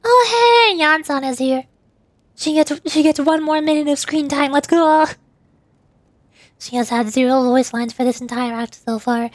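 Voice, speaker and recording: A woman's voice: high-pitched, moderate pace, dry recording. A woman VTuber doing live game commentary and reading on-screen text aloud.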